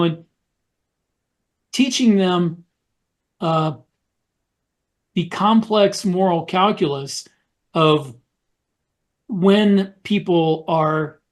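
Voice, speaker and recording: Higher-voiced man in casual podcast discussion. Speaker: podcast co-host.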